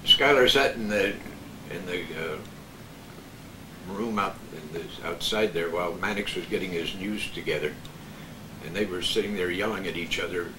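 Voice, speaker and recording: Older man, low voice, halting casual reminiscence, echoey room, off-mic. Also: far from the mic, noisy background.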